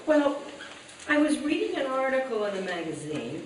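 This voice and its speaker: A dramatic, scheming comic housewife from an old-time radio sitcom. Her high voice swoops up and down with earnest insistence. It carries from a distance on a very echoey stage. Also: sing-song, normal speed. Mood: earnest, insistent. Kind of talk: old-time radio sitcom acting before live audience. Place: live stage performance in a hall. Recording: echoey hall, distant live stage, faint noise.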